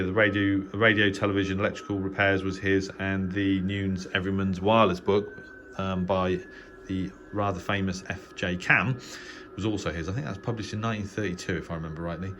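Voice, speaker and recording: A man's voice: sits low, level tone, moderate pace, fairly bright tone. A middle-aged English man giving a casual, unscripted narrated tour as a hobby host, speaking in a hesitant, rambling way. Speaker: shortwave radio hobbyist and channel host. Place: small spare bedroom radio shack, indoors. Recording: clearly noisy home room recording.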